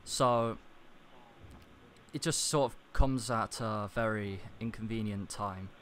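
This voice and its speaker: A man calling customer service with a complaint, speaking in a deadpan, deliberate and stiffly formal manner. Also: on the low side. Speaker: prank caller posing as customer. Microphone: computer internet call. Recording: internet call with clear background noise.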